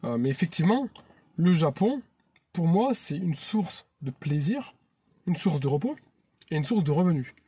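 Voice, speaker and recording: A man's voice: a little high, very animated, moderate pace, long pauses, mellow, husky. A man in his thirties from France, hosting his own channel in an earnest, chatty vlog monologue that often restarts phrases. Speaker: YouTube channel host.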